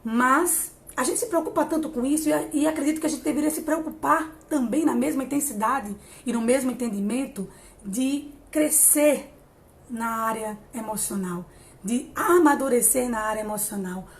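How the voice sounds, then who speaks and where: A woman in her late thirties giving an encouraging live-stream talk on emotional growth, pausing often and restarting her sentences. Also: sits high. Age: 30s. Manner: warm, encouraging, many hesitations and restarts. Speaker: psychologist hosting a live stream.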